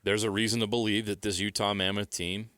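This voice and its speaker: An American man co-hosts a sports radio show with a somewhat low, bright and forceful voice. He makes his points in short, emphatic declarations. The recording is dry and close. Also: level tone, fairly quick, no echo. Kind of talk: unscripted sports talk and analysis.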